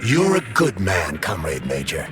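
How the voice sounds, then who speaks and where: An actor playing a male consciousness trapped in a polymer glove, speaking smoothly and gravely. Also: deep voice, huge pitch swings, a little slow, exaggerated voice. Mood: earnest. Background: music.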